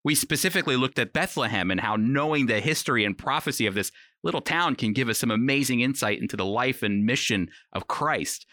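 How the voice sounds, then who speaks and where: A man with a medium-pitched, forceful voice hosts and teaches on the radio. He talks to listeners directly and earnestly, in a conversational, encouraging style. The sound is dry, with hardly any room in it. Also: lively intonation, brisk, hardly any pauses, no room sound. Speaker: radio host and Bible teacher. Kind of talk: conversational Bible teaching and commentary. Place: remote home recording, dry.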